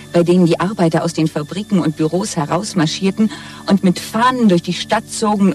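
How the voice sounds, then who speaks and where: A state propaganda announcer in a radio play, acted by a man, heard from a distance with faint music. The voice is slightly high, formal and proclamatory, full of official triumph. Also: natural voice. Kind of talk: scripted propaganda bulletin in radio drama. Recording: distant public loudspeaker, music behind.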